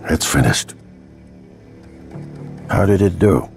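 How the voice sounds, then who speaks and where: Very low, grave scientist. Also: male voice, animated, gravelly, a little mellow, soft background music, exaggerated voice. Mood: probing, grave. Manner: deliberate, earnest. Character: weary, grave male scientist leading an experiment. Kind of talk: video game cutscene dialogue.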